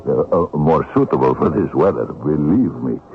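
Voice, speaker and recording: An actor playing a brash, wheedling, down-and-out Russian drifter who grumbles and hems and haws, in an old-time radio drama. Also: male voice, extremely low pitch, animated, normal speed. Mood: wheedling, boastful. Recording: narrow, lo-fi old radio broadcast.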